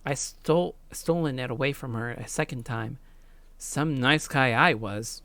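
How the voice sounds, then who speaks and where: A woman's voice: extremely low pitch, a little slow, a bit bright, no echo. A woman hosting a let's play, reading visual novel narration and adding casual gaming commentary, close on the mic.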